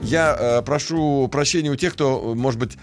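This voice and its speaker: A man hosting a radio show, reading and answering listener messages in a deliberate, admonishing, haltingly phrased way. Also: mid-range voice, soft background music. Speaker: radio host. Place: radio studio.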